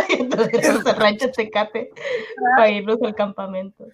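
A woman's voice: medium pitch, steady tone, a little slow. A woman with a Mexican accent bantering and teasing in a casual family chat. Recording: dull lo-fi sound, people chattering.

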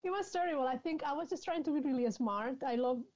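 A woman's voice: sits high, animated, quick pace, a little mellow. A woman in her thirties from Spain with a Spanish accent, speaking English as a second language and chatting frankly as a guest author in an interview. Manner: laughs often, frank and chatty. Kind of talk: conversational interview. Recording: dull lo-fi sound.

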